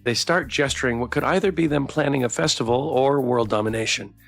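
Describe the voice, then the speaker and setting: A man's voice: mid-range voice, a little fast, gravelly, soft background music. A man streaming a game, reading the story aloud expressively and chatting with viewers as he goes. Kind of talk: playthrough narration with chat banter.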